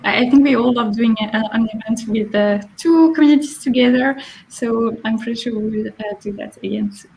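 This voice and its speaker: A woman's voice: fairly high pitch, a little fast, gravelly, darkish tone, rough recording. A woman from Paris, hosting an online event on a video call, hesitant with many fillers. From Paris, France. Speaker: event host.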